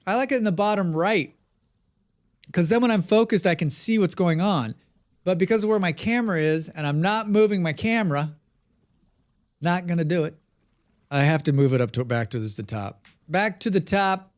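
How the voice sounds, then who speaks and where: A man's voice: sits high, animated, moderate pace. Chatty American man streaming a game. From United States. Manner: chatty, talks to chat and answers comments. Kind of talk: casual live-stream chat while gaming.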